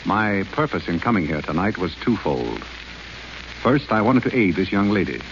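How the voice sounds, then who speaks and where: An actor in a 1930s radio play playing a polite, dryly witty gentleman turned butler with a suave, measured delivery. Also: low-pitched, sing-song. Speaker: Godfrey. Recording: dull old radio broadcast with faint hiss.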